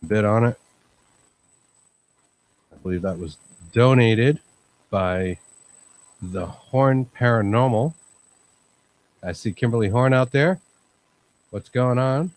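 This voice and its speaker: A man hosting a live chat show, talking in a calm, rambling way with many fillers. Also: lowish voice, takes it slowly, pauses often, low-quality recording. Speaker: host. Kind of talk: casual livestream chat.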